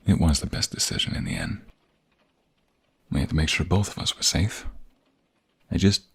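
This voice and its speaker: A man playing a tender, protective young mobster in an intimate ASMR romance roleplay, speaking softly and haltingly in a husky, gravelly, breathy voice. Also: rumbling low, full character voice. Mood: thoughtful, then worried, relieved. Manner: soft, halting, gentle, intimate.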